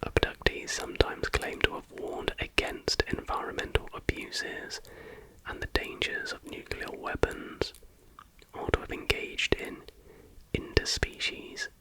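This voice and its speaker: An ASMR host speaking entirely in a breathy whisper, chatty and informal. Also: unhurried, rough recording. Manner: chatty, informal, joking asides.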